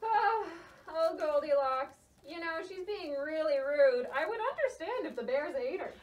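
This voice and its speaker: Very high-pitched anxious puppet mouse. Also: female voice, animated, measured pace, far from the mic, dull audio. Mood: indignant. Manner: fretful, exclamatory, skeptical. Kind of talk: children's puppet show dialogue.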